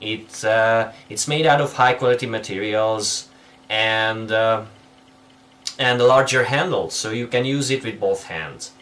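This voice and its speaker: A young man from Hungary with a Hungarian accent, speaking careful, halting English as a guest reviewing a tool indoors. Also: lowish voice, a bit bright. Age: young adult. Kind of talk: product review. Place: indoors, small room with patterned wall.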